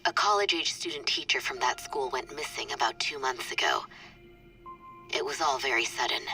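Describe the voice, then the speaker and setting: Bright, low-voiced businesslike woman. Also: moderate pace, soft background music, exaggerated voice. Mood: businesslike, urgent. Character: businesslike female legal professional, composed and direct.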